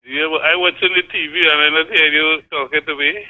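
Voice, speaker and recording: A man's voice: on the high side, fairly even intonation, a little fast. An older man from Tobago with a Trinidad and Tobago accent, calling in to a morning show and talking earnestly, repeating his points. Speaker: caller. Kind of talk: phone-in call. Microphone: phone. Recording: thin phone line.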